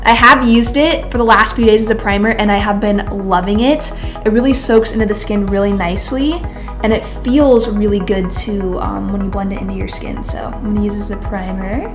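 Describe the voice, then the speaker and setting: A woman's voice: mid-range voice, fairly even intonation, fairly quick, over music. A young woman in her twenties with a congested, nasal voice from a cold, chatting casually through a makeup tutorial. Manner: chatty, filler-heavy, addresses viewers as beauties. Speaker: beauty vlogger.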